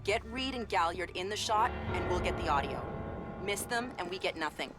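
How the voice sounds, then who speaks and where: A woman playing a brisk, controlled intelligence operative giving clipped, businesslike instructions in a video game cutscene. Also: lowish voice, expressive, over music, lightly acted. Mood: businesslike, instructive.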